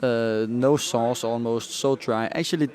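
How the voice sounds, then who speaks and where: A young man co-hosts a casual food podcast and gives frank, critical reviews. His voice is middle-pitched and ordinary. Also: fairly even intonation, measured pace, darkish tone. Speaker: podcast co-host. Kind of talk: casual chat.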